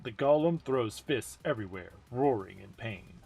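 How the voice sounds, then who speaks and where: A man's voice: mid-range voice, lively intonation, moderate pace, gravelly, darkish tone, husky, no echo. A man giving a dramatic amateur reading of a game story as narrator. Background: music.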